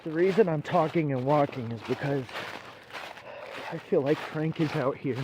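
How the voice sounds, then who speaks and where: An actor playing a nervous, rambling man exploring a contaminated site, halting and uneasy, in noisy found-footage horror. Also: on the high side, natural voice. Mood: uneasy, muttering. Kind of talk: found-footage horror acting, talking to camera.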